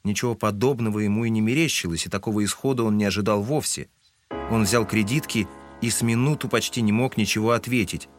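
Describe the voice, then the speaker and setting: An actor as the composed narrator of a classic novel, telling the story in a measured, formal way. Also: male voice, fairly low pitch, soft background music, lightly acted.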